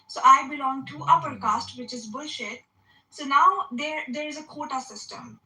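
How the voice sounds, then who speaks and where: A woman in her twenties with an Indian accent speaks in a high, very bright voice. She sounds earnest and apologetic, with an emotional edge. The call audio is echoey and distant from the mic. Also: normal speed, slight background noise. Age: 20s. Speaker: caller. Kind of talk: open call-in discussion.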